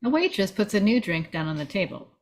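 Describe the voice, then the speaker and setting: Woman, stage directions reader, mid-pitched voice, scripted narration. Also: big pitch swings, brisk. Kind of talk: scripted narration at a table read.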